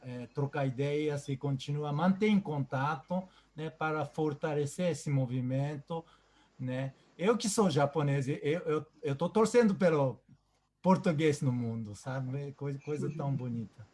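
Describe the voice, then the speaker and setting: A man with a Japanese accent, a native Japanese speaker, hosting and giving effusive closing remarks in a halting way with many repeated words. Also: on the high side, darkish tone. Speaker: host. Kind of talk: closing remarks on a video call.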